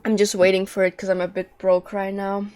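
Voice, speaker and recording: A woman's voice: medium pitch, monotone, a little fast, a little mellow. A woman streaming a game, chatting casually and informally in a rambling way. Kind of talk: casual livestream chat. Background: game music.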